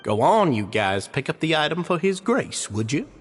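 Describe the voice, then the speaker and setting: A smooth, deferential society leader. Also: male voice, on the high side, sing-song, moderate pace, a little hiss or hum. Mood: courteous. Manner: polite, courteous, deferential. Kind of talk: video game voice acting.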